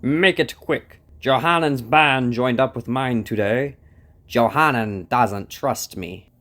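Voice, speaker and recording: A man's voice: mid-range voice, slow, a bit bright. A man playing a treacherous, ambitious prince and captain in an audio drama, commanding and curt. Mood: curt, wary.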